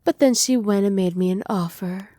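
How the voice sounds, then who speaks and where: A woman voicing a doting, possessive evil witch in an intimate ASMR roleplay, speaking softly and teasingly, often slipping into breathy whispers. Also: mid-range voice, moderate pace, no echo, lightly acted. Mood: smug, satisfied. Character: doting, possessive evil witch pampering her captive pet. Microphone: close microphone, dry.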